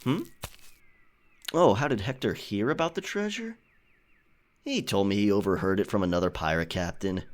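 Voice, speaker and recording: A man's voice: on the low side, expressive, normal speed, pauses often, lightly acted. A man playing a charming, flirtatious pirate captain in a romantic audio roleplay, teasing and tender as he speaks to his lover. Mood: explaining.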